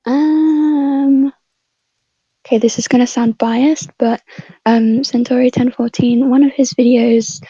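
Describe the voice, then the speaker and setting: A woman's voice: on the high side, steady tone, slow, dark tone, husky, no room sound. A woman chatting casually and hesitantly as a podcast panelist over a dull lo-fi connection. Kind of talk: casual, unprepared chat.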